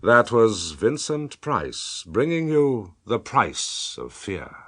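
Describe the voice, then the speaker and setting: A British man works as a radio announcer reading scripted credits. His voice sits a little low and moves widely in pitch. He is formal and takes his time over each phrase. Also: big pitch swings, slow, fairly bright tone, soft background music. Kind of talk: scripted broadcast closing credits.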